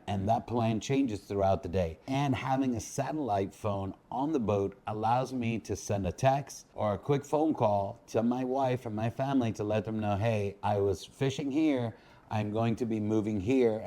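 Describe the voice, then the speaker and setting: A man narrating a scripted instructional video as host, explaining things in measured phrases. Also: on the low side, mellow.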